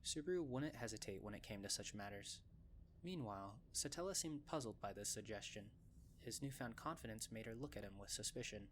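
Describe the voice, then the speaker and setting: A man's voice: on the low side, expressive, brisk. Male story narrator over faint ambient noise. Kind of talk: scripted audiobook-style story narration.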